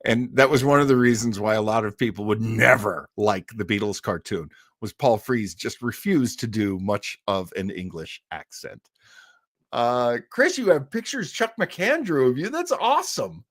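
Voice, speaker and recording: A man hosting a live show in casual chat, reading out and answering listener comments in a halting, rambling way with jokey asides. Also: medium pitch, big pitch swings, a bit bright, no echo, studio quality.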